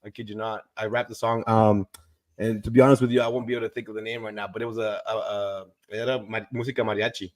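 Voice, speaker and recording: Joking Rio Grande Valley host, dry mic. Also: male voice, lowish voice, level tone, fast, dry recording. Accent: Texas Rio Grande Valley. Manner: loose and joking, swears, drops in Spanish words. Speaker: podcast host, realtor. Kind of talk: casual podcast conversation.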